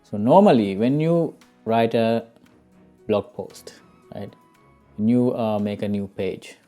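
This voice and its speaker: A man's voice: fairly low pitch, slow pace, warm tone, soft background music. A man with a Sri Lankan accent presenting an informal step-by-step tutorial, often checking in with the listener. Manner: frequently checks in with "right?" and "okay?". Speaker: SEO tutorial host.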